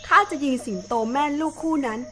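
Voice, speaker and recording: A woman's voice: fast, close to an everyday voice. A woman voicing a boastful male hunter in a storybook tale, bragging to his friends. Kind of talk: storybook character voice.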